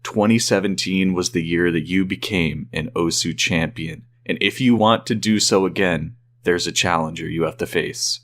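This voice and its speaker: Man, lowish pitch, interviewer, prepared questions, friendly conversational delivery. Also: normal speed, few pauses, fairly bright tone.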